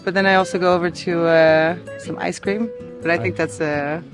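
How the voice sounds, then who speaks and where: A woman's voice: lowish voice, steady tone, normal speed, music in the background. A woman from France, a DJ and singer, talking easily in a casual interview and laughing often. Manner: easygoing, chatty, laughs often. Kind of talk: casual chat.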